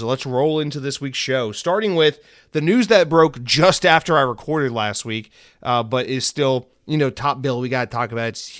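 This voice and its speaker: Casual male podcast host in his thirties, middle pitch, tired with a head cold, rambling and profane, dry close mic. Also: a bit bright, dry recording. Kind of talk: casual solo podcast chat.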